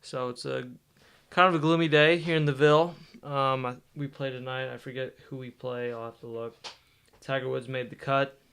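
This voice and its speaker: Creaky young man vlogging casually. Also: medium pitch, moderate pace. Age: young adult. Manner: relaxed, rambling delivery with fillers. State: losing his voice. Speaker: vlogger and podcast host. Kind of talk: casual vlog chat.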